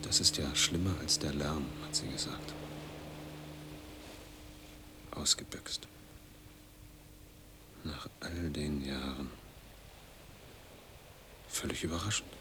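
A man's voice: a bass voice, steady tone, takes it slowly, pauses often, noisy background. An actor playing an embittered, reclusive man in his forties in a radio drama monologue, speaking in brooding, clipped fragments that sink into murmurs. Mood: hushed, resigned. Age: 40s.

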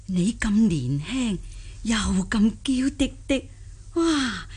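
A woman playing a humble, hesitant old country granny who flatters rich relatives in a Cantonese radio drama. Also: medium pitch, takes it slowly, slightly rough. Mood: admiring. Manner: hesitant, deferential.

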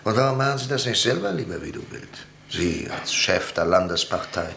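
A proud, sceptical professor in a German radio drama. He speaks in a low, creaky voice with lively swings of pitch, dry and ironic. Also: fairly quick, a little hiss or hum. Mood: wry. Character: wry, sceptical, proud middle-aged professor turned politician. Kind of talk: German radio play acting.

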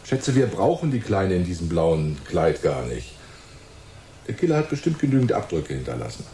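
A hard-boiled cop in a radio drama, in a low, dark voice that swings widely in pitch. He is brusque and condescending, heard in an echoing room. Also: very animated, normal speed. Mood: dismissive, scornful. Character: gruff, scornful, hard-boiled male police inspector. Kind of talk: hardboiled radio play dialogue. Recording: roomy echo with faint ambient noise.

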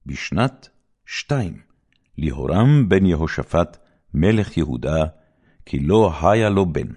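A man reading scripture as a scripted narration, solemn and declamatory, in measured phrases with dramatic emphasis. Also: low voice, big pitch swings, darkish tone. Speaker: audiobook Bible reader.

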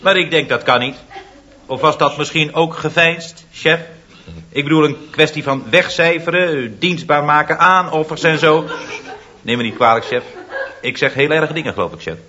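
A meek-sounding yet sly employee in a vintage radio comedy. His voice is somewhat high and bright, polite on the surface with a teasing undertone. The recording is dull, with a faint hiss. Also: moderate pace. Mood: deferential. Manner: politely deferential with sly, needling remarks. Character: deferential but sly young male employee. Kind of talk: 1960s radio comedy acting.

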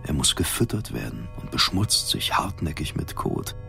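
A grim polar captain recites his logbook for a radio play. His voice is low and creaky, his manner sober and callously matter-of-fact, over faint music. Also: sing-song, normal speed, full character voice. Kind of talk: radio drama journal monologue.

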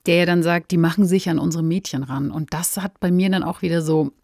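A woman with a fairly low-pitched voice speaks as a podcast host. She is articulate and informal, presenting and commenting on radio drama. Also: steady tone, brisk, vocal fry, a little mellow, no room sound, studio quality. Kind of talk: scripted credits and conversational discussion.

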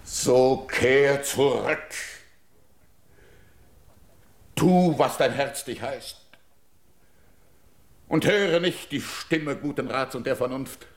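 An actor playing a proud, stern barbarian king in a classical verse radio drama, declaiming in a commanding, weighty, deliberate manner. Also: medium pitch. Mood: bitter scorn.

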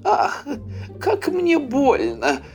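Man putting on a high, wailing ugly witch woman. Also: wildly swooping pitch, faint music behind, heavily acted. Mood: wailing in pain. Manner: wailing, hissing, drawn-out pleas. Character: ugly troll-like witch woman, injured, pleading, spiteful.

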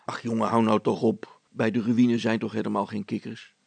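Storybook sidekick read by a man: practical, polite fellow, hesitant objections, gravelly and husky. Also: fairly low pitch, flat delivery, normal speed, dry recording, pitched below the performer's own voice, heavily acted. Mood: objecting. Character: hesitant, deferential, practical man, the mayor's accomplice.

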